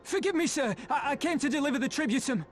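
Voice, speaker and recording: Terrified peasant in a game, stuttery and begging, really high voice. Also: male voice, animated, quick pace, faint music behind. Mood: nervous, deferential. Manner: stammering, pleading. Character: frightened, pleading male peasant tribute bearer. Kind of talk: video game cutscene voice acting.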